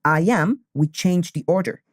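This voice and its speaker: Slow, deliberate teacher. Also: male voice, high voice, dry recording, studio quality. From Colombia. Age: 20s. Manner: slow, deliberate, repeats key phrases. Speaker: English teacher. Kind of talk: beginner English lesson.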